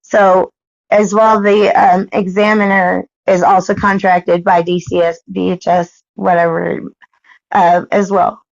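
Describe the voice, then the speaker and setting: Adult American woman, fry in the voice, halting but persistent in dispute, muffled lo-fi call recording. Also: fairly low pitch, flat delivery, no echo. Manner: insistent, halting, repeating herself. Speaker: parent questioning a caseworker. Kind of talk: argumentative phone conversation. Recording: dull lo-fi recorded phone call.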